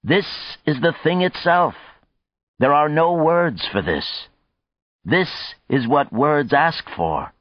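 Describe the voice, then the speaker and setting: A man's voice: mid-range voice, animated, measured pace, voice pushed up, lightly acted. A man voicing an exhilarated male space colonist giving a declamatory, rousing speech in an audiobook. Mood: stunned, elated. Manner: declamatory, impassioned oratory. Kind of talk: audiobook character dialogue. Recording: dull, slightly muffled, roomy sound.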